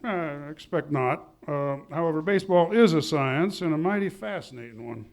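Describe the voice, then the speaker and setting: Pompous family man played by an actor, slightly high male voice, wordy condescension, old-time radio comedy. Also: moderate pace, a little mellow, close to an everyday voice. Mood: self-important, evasive. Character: pompous, haughty small-town husband and father. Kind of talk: 1940s radio comedy acting, live on stage. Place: live theatre stage.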